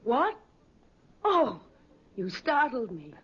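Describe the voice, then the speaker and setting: A woman's voice: a little high, huge pitch swings, extremely slow, slightly rough, full character voice. Sassy, blunt country girl. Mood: pert, blunt. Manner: pert, blunt. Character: sassy, self-assured young country woman. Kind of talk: 1950s radio acting. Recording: muffled, slightly noisy old radio recording.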